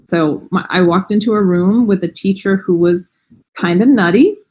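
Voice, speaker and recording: A woman's voice: mid-range voice, moderate pace, slightly rough, lo-fi sound. An American woman in her forties, a language teacher, chatting enthusiastically in an interview over a video call, with frequent fillers. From United States. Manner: warm, enthusiastic, frequent "um" and "you know". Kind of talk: casual interview chat.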